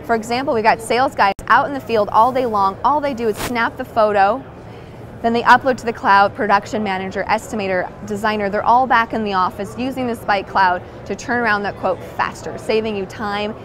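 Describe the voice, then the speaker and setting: A woman's voice: fairly high pitch, brisk, a crowd in the background. A woman in her thirties giving an upbeat product demonstration at a trade show booth on a lapel mic. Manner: upbeat, clear sales presentation. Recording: trade show floor noise behind.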